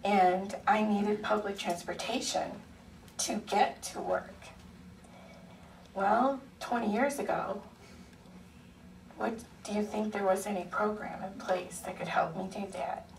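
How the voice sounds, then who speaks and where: Soft-voiced woman speaking slowly. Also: mid-range voice, steady tone. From Ohio, USA. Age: 40s. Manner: unhurried storytelling with frequent ums. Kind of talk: personal testimony speech. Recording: echoey hearing room, far mic, faint noise.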